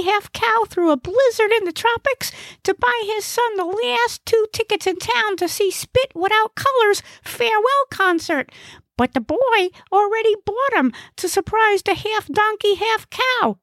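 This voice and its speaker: Woman voicing a squeaky, dim, gluttonous male creature. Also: a little slow, fairly bright tone, dry recording, heavily acted. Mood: chattering excitedly. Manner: childlike mangled words, blithely oblivious. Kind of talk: comic audiobook character acting.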